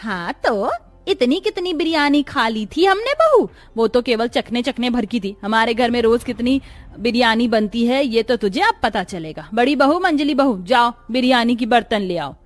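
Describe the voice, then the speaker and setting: Woman acting a high, domineering mother-in-law. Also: lively intonation, moderate pace, soft background music, natural voice. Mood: commanding. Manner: scolding, commanding. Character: domineering, greedy middle-aged mother-in-law.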